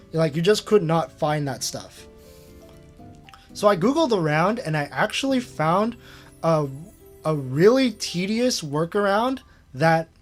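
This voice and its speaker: A man hosting a casual screen-share retrospective, rambling with lots of fillers and chuckling at himself. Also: high voice. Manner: rambling, filler-heavy, self-amused. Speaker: channel host.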